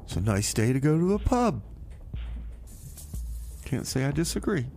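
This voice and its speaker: A man hosting a radio show in casual comedy chat, talking in a halting, hesitant way. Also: medium pitch, sing-song, creaky, a little mellow, slight background noise. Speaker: radio host.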